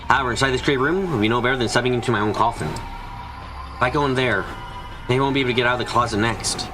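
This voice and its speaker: A man's voice: mid-range voice, natural voice. A man voicing an anxious, frightened narrator trapped and desperate, in a first-person visual novel fan dub. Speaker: voice actor narrating.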